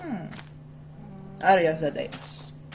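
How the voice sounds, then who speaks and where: A woman with an Iranian Persian accent giving casual, rambling gameplay commentary as a video host, often repeating short phrases excitedly. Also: lowish voice. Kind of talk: casual gameplay commentary, talking to self and viewers. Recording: loud background noise and game ambience.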